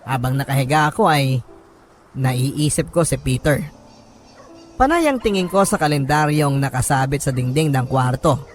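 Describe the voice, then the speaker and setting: Male Filipino radio storyteller, slightly high register, emotive and sorrowful first-person narration, light music bed. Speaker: radio drama host reading a listener's letter. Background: background music.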